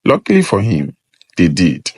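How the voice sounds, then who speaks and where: A man's voice: low-pitched, huge pitch swings, normal speed, darkish tone, breathy edge, no echo, studio quality. A man narrating a scripted folktale as a storyteller.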